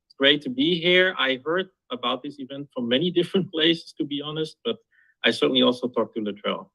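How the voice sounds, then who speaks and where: A man's voice: medium pitch, a little fast, vocal fry, pushed and bright, no echo, poor audio. A man from the Netherlands, a physics professor, introducing himself on an online panel with light chuckles. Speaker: physics professor, program director.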